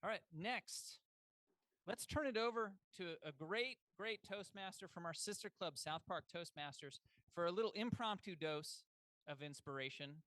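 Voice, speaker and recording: A man's voice: high-pitched, normal speed, fairly bright tone, no echo. A man acting as toastmaster at a club meeting, introducing speakers with upbeat hype.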